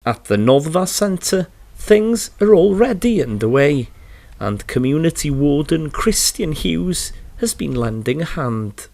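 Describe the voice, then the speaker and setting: A man's voice: on the high side, big pitch swings, unhurried, vocal fry, a little mellow, no room sound. A man narrating a scripted local news feature as the reporter.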